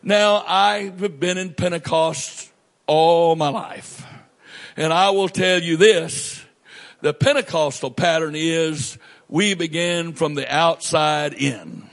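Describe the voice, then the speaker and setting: Man, pastor, high voice, slow deliberate sermon, emphatic pauses, church setting. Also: creaky, fairly bright tone. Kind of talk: sermon with scripture reading.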